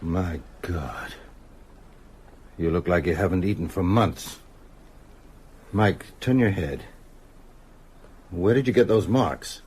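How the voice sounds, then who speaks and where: An actor playing a frightened, haunted man in an audio drama, insistent and urgent, with halting delivery. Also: low-pitched, a little mellow, husky, heavily acted. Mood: alarmed, grave. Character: frightened older male teacher, insistent, haunted, wryly self-mocking.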